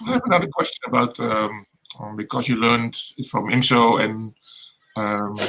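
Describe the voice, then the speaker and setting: Bright-voiced man asking questions. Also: medium pitch, normal speed, a little hiss or hum, low-quality recording. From Netherlands. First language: Dutch. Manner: inquisitive, searching for words. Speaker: guest co-host. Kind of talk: casual interview questions.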